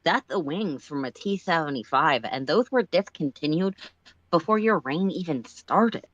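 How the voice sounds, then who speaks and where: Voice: mid-range voice, expressive, normal speed, a bit bright, no room sound, lo-fi sound, pitched above the performer's own voice. A storyteller voicing a blunt, bossy male alien spaceship crewmate, curt and sardonic in manner. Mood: argumentative. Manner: curt, sardonic, matter-of-fact.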